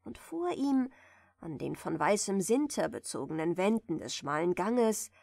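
A woman softly reading a bedtime fairy tale in a gentle, soothing manner, at times close to a whisper. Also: fairly low pitch, very animated, a little slow, vocal fry, dry recording. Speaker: audiobook reader, storyteller.

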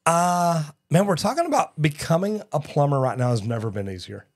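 A man's voice: a little high, animated, brisk. A man, hosting a casual live talk show in a studio, folksy and upbeat, often calling people "man". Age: 60s. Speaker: podcast host. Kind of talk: casual live-stream chat. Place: studio set. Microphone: broadcast mic on boom arm.

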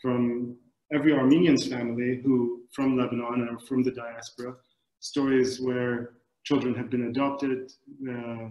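A man in his forties from the Middle East, a film director giving thoughtful, halting answers on a video call panel. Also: mid-range voice, a little mellow, a little hiss or hum. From Middle East, born in Kuwait. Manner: halting, searching for words. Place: indoors, book-lined room at home. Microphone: computer mic on a video call. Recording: echoey room over video call.

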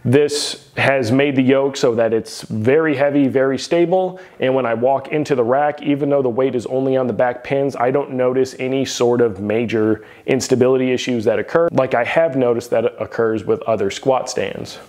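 Male reviewer, 30s, garage gym, detailed and matter-of-fact, average pitch. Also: steady tone, normal speed, hardly any pauses, a little mellow. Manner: methodical, detailed, plain-spoken. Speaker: equipment reviewer. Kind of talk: product review to camera.

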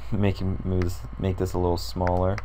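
A young man with a sore, hoarse throat and a deep, low voice talks through a computer design tutorial. He rambles and thinks aloud with plenty of ums and uhs while he clicks along. A faint hiss sits under the recording. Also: completely flat delivery, fairly quick, warm tone. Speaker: tutorial creator.

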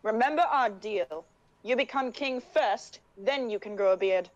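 Sassy young fantasy heroine voiced by a woman, higher register, assured and proud. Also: unhurried, dull audio, lightly acted. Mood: firm, teasing. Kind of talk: character voice acting audition.

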